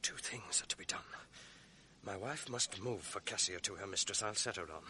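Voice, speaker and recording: An actor playing a scheming, venomous male ensign in a 1980s radio drama, dropping from hushed plotting asides to loud, mocking bluster. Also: fairly low pitch, moderate pace, a little hiss or hum.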